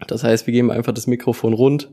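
A young man co-hosting a student podcast, relaxed and casual. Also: mid-range voice, fast talker. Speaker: co-host.

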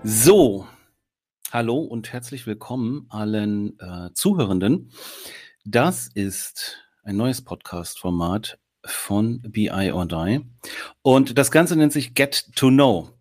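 A man's voice: on the low side, animated, takes it slowly, darkish tone. A man hosting a podcast in casual chat, talking loosely with many filler sounds. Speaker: podcast host.

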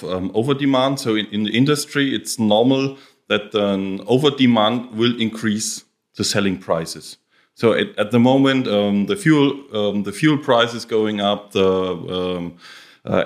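A man interviewing a producer, asking his questions in a halting way with fillers. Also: lowish voice.

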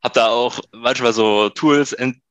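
A man in his late twenties from Berlin, answering interview questions in a relaxed way, with many fillers and short laughs. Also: medium pitch, measured pace, dry recording, lo-fi sound. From Berlin, Germany. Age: 20s. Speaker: guest. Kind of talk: casual interview chat.

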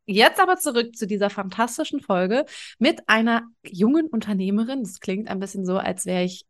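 A woman's voice: medium pitch, lively intonation, normal speed. A woman in her thirties hosting a conversational podcast interview in an informal, enthusiastic way. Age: 30s. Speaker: podcast host.

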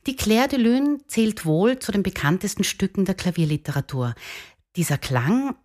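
A woman presenter with a fairly low voice. She hosts in German-style broadcast fashion, giving scripted introductions and putting questions to a guest. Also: a little mellow, husky. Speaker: host.

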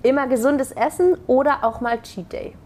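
A woman's voice: high voice, moderate pace, darkish tone. Upbeat woman interviewer. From Hamburg, Germany. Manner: upbeat, affirming with frequent "mhm" and "sehr gut". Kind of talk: casual quick-fire interview.

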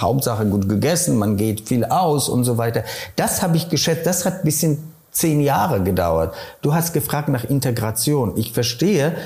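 A middle-aged man from Silesia with a Polish accent, answering interview questions thoughtfully and pausing often to find his words. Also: mid-range voice, darkish tone. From Poland, Silesia. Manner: thoughtful, searching for words, many hesitations.

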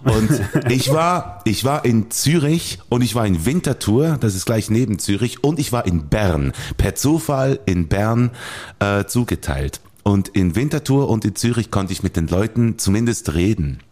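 Bernese guy on a podcast, lowish voice, kinda halting, rambly storyteller. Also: expressive, darkish tone. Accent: Bernese Swiss German. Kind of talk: casual podcast chat.

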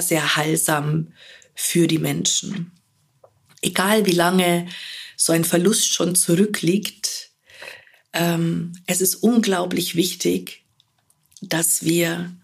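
A woman hosting her own podcast as an animal communicator, talking in a gentle, earnest way, hoarse from a cold. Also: lowish voice. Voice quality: husky, gravelly. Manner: gentle, earnest, with fillers and repeated words. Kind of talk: solo podcast monologue.

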